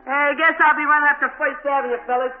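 An actor playing an excitable young boy in a 1940s radio drama. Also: extremely high pitch, fast talker, phone-quality sound. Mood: excited. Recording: noisy, echoey old radio recording.

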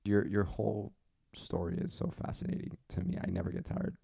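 A man's voice: lowish voice, big pitch swings, fairly quick, creaky, darkish tone, slightly rough. A man hosting a casual interview, talking in an easygoing way with fillers and repeated words. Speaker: podcast host.

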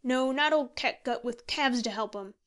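A woman's voice: on the high side, normal speed, fairly bright tone, lightly acted. A woman voicing an argumentative, scornful old male pensioner with emphatic rustic speech in an audiobook story. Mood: arguing.

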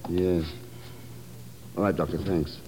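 An actor playing a cool, terse government agent in a 1950s radio drama. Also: male voice, sits low, steady tone, slow pace. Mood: composed, businesslike. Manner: terse, composed, businesslike. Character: cool, clipped male government agent, investigating calmly. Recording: noisy old 1950s radio recording.

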